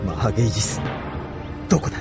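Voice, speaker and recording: Young male ace pilot: intense anime war drama, swings from hushed to explosive, music behind. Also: fairly low pitch, very animated, quick pace. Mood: tense, searching.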